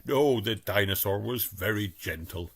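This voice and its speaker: A man's voice: fairly low pitch, unhurried, vocal fry, heavy background noise. A man voicing a good-natured pig father telling a bedtime story, with music playing behind. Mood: gentle. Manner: gentle, storytelling.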